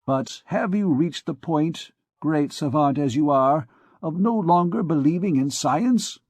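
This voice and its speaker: A man's voice: on the high side, expressive, a little slow, darkish tone, no echo, voice pushed up. A man voicing a shrewd older visitor who asks probing questions in a genial, coaxing way. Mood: inquisitive. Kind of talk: audiobook character reading.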